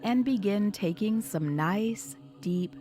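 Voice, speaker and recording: A woman hypnotherapist leading a guided meditation in a soothing, measured, instructional manner. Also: medium pitch, dark tone. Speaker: hypnotherapist guiding a session. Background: background music.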